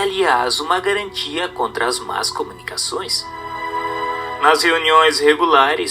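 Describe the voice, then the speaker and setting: A man reads a book as scripted narration, in a formal, didactic manner with measured emphasis. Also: on the high side, pushed and bright. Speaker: audiobook narrator.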